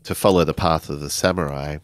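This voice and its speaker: A man improvising narration for a tabletop role-playing game in a halting, deliberate way. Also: rumbling low, fairly even intonation, dry recording.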